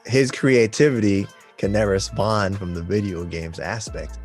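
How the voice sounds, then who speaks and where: Deep-voiced slangy man. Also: steady tone, unhurried, darkish tone. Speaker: podcast co-host. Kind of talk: casual chat. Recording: music under the voice.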